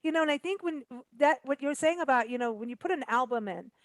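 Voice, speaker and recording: A woman's voice: sits high, expressive, fast talker, dry recording. A woman hosting a casual radio interview, chatty and enthusiastic, jumping in with agreement and stories. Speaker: radio show host.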